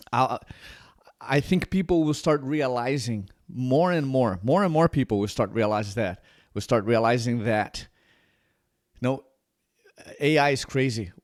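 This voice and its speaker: A man's voice: mid-range voice, a little mellow, dry recording, clean studio sound. A man in his forties from Brazil with a Brazilian accent, talking casually to camera as a channel host, rambling with many restarts. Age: 40s.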